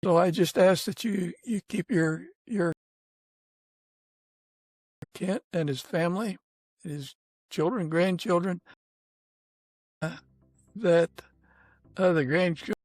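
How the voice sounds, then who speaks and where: Slow-talking man hosting a podcast. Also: high-pitched, no room sound, rough recording. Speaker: podcast host. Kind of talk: conversational interview and informal prayer.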